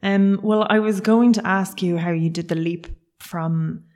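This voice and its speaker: A woman's voice: mid-range voice, steady tone, unhurried, a little mellow. A woman from Cork, hosting a podcast and chatting enthusiastically with her guest. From Cork, Ireland. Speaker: podcast host. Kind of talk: casual interview chat.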